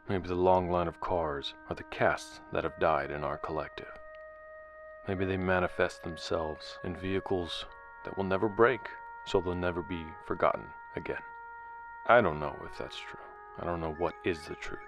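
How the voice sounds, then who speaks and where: A somber male investigator, played by an actor narrates a sci-fi audio drama in a very deep voice. The scripted storytelling is measured, with music clearly behind it. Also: steady tone. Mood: somber, mystified. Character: somber male investigator recounting an eerie case.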